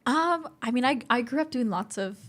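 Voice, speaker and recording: A woman's voice: a little high, expressive, moderate pace, fairly bright tone. A woman, talking casually as an interview guest. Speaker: interview guest, arts performer.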